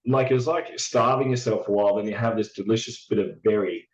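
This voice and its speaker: Raspy hoarse guy chatting, lowish voice, kinda muffled lo-fi sound. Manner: halting, many fillers and restarts. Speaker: podcast guest. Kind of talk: casual reflective chat.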